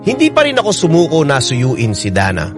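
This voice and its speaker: A man's voice: on the high side, huge pitch swings, fairly quick. An actor playing a devoted, heartbroken young man narrating his love story in an earnest, emotive radio drama style. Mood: wistful, resolute. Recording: loud music under the narration.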